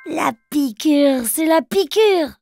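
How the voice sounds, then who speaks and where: A woman's voice: sits high, animated, takes it slowly, over music, exaggerated voice. A woman voicing an eager, chatty little boy bear cub in a preschool cartoon, with a childlike manner. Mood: eager, curious.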